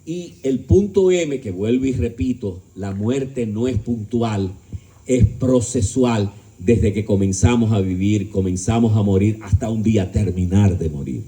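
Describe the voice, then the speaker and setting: A man's voice: measured pace, mellow. A man giving a philosophical lecture to an audience, emphatic, asking rhetorical questions and joking. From Dominican Republic. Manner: emphatic, shifting pitch and volume. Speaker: speaker at a discussion gathering.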